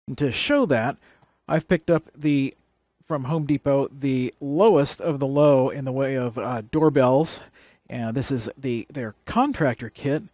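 A man's voice: mid-range voice, a little slow, a little mellow, no echo, studio quality. A man presenting a hands-on electronics how-to, explaining things plainly and step by step. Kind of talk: hands-on tutorial demonstration.

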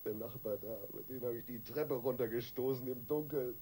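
Male actor doing shaken guilty husband in a radio crime play, soft dark tone, halting, faint noise. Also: medium pitch, lightly acted. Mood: agitated, anxious. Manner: halting, defensive, despondent. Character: distraught, weak-willed pharmacist husband, guilt-ridden and drinking. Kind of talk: German radio crime drama acting.

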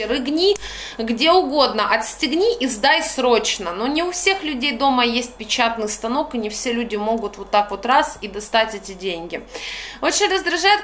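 Young ukrainian-accented woman ranting into her phone, kinda high voice, slangy and fed up, faint hiss behind. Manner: blunt, slangy, exasperated, animated. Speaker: vlogger. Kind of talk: casual ranting monologue. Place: small room by a curtained window, indoors. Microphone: phone camera at arm's length.

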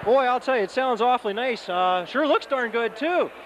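A folksy, enthusiastic male host with a fairly high voice, chatting with guests and staff on a handheld mic as he roams a banquet hall. The camcorder audio carries a steady wash of party noise. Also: normal speed. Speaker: event reporter and host. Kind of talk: roving event coverage.

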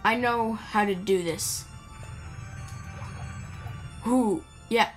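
Woman, medium pitch, casual gameplay commentary, playful, rambling, music in the background. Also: extremely slow, rough recording. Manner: rambling, playful, talks to the game characters. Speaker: gaming video creator. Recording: game music behind the voice. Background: video game music.

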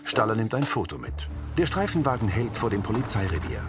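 A male audio description narrator with a fairly low voice. He reads scripted scene descriptions with lively intonation, and music plays clearly behind him. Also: fairly quick. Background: background music.

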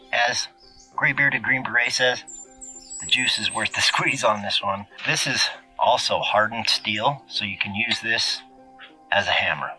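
A middle-aged man casually reviewing his camping tools to camera, relaxed and jokey, recorded outdoors in open scrubland. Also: medium pitch, poor audio. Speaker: outdoor gear vlogger.

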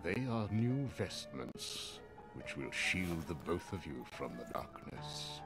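An actor voicing a stern, wise old wizard master in a video game, speaking formally and gravely in measured phrases. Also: male voice, low voice, wildly swooping pitch, music in the background, heavily acted. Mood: solemn. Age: older. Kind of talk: video game cutscene acting.

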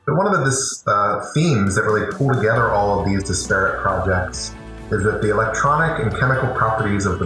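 Middle-aged man professor, bright and echoey. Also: fairly low pitch. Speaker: professor and lab head. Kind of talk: prepared video introduction.

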